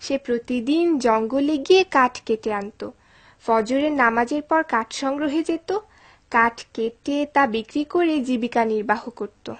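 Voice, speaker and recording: Female storyteller for a children's cartoon, high-pitched, scripted delivery. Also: measured pace. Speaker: narrator.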